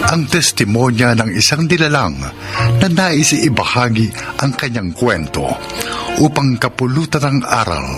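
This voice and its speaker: A man with a Filipino accent reading scripted introductions and credits as a radio drama host, measured and formal. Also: medium pitch. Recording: prominent music bed under voice.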